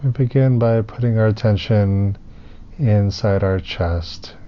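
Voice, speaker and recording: Low-voiced man guiding a meditation. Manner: soothing, unhurried, with pauses between phrases. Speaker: meditation teacher. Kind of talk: guided meditation instruction. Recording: muffled, with clear background noise.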